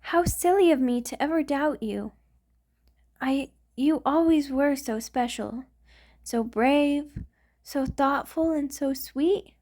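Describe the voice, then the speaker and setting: Woman voice-acting a devoted female vampire, high-pitched, tender, hesitant, refined, romantic ASMR roleplay. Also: takes it slowly. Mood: adoring, grateful. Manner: tender, hesitant, earnest, gently formal. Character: affectionate, refined vampire queen in love with a human.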